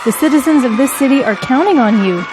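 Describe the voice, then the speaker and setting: A loyal female political confidante, with a fairly high voice. She is level-headed and measured, gently cautioning in audio drama style. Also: lively intonation, background noise.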